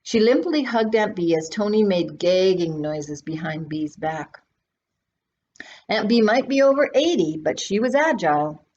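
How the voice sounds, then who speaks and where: A woman's voice: mid-range voice, expressive, unhurried, a little mellow. A woman reading a children's novel aloud expressively, as its author. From Canada. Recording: dull sound with faint background noise.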